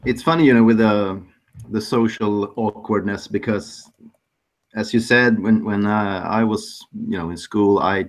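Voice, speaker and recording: A man's voice: fairly low pitch, steady tone, a little slow. A man from Sweden with a Swedish accent, chatting casually as a guest and sometimes searching for English words. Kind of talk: casual chat.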